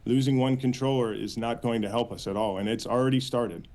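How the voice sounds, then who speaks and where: An American man with a mid-pitched voice, speaking as an official being interviewed on the news. He is earnest and halting, often repeating words as he builds a sentence. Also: steady tone, darkish tone. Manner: halting, hesitant phrasing with frequent repeats, earnest. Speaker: union official, interview guest. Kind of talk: spoken news interview answers.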